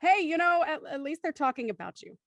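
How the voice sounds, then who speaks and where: A woman's voice: high-pitched, expressive, fast talker, a bit bright, no echo. A woman hosting an online show, chatting and introducing a cast with bubbly enthusiasm. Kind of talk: livestream hosting, chatty banter.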